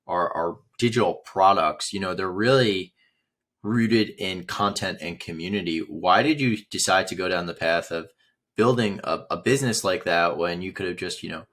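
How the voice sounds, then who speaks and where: A man hosting a podcast, asking questions in a halting way with many "you know" fillers. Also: sits low, a bit bright. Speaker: podcast host. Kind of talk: interview questions.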